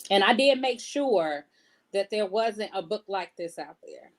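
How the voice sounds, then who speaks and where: A woman's voice: mid-range voice, expressive, unhurried. A woman speaking casually and conversationally as an interviewed author.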